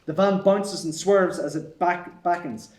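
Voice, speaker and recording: A man's voice: sits high, fairly even intonation, unhurried, a little mellow. A man reading stage directions as scripted narration at a screenplay table read. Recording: echoey room.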